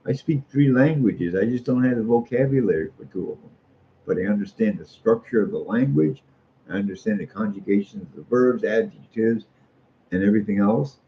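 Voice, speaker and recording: Gravelly, low-voiced American man rambling. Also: a little fast. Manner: folksy, opinionated, repeats phrases for emphasis. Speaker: street artist. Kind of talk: casual rambling monologue. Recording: muffled lo-fi audio with faint noise.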